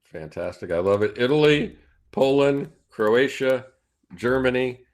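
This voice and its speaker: A man's voice: medium pitch, lively intonation, a little slow. A man hosting a video call meetup, upbeat and encouraging in casual chat. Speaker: host.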